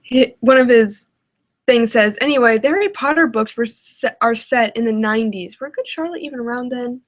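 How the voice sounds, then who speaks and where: A woman's voice: a little high, moderate pace. A woman giving casual, sarcastic, exasperated reaction commentary.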